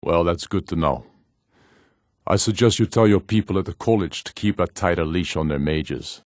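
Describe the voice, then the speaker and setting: A man playing a proud, commanding rebel jarl in a fantasy video game, speaking with formal, measured authority. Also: sits low, darkish tone, dry recording, clean studio sound, close to an everyday voice. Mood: grave, businesslike.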